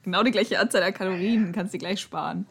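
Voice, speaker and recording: A woman's voice: mid-range voice, quick pace, vocal fry, husky. A woman chatting casually as a podcast guest, blunt and argumentative in the way she talks.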